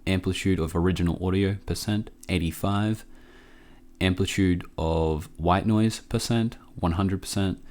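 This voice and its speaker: A man with a cold presents a casual software tutorial in an offhand, halting, low-key way, speaking close to the microphone. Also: steady tone. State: sick with a cough.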